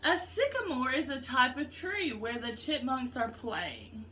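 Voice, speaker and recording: A woman's voice: high-pitched, lively intonation, measured pace, pushed and bright, slight background noise. A woman teacher doing an interactive picture-book read-aloud for a class, explaining simply and putting questions to pupils.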